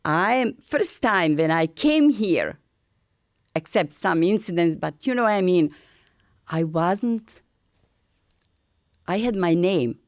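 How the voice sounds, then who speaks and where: Slow, earnest older Bosnian woman. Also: fairly low pitch, expressive, dry recording, studio quality. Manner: halting, broken English with restarts; earnest, encouraging. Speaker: featured artist. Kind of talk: personal storytelling.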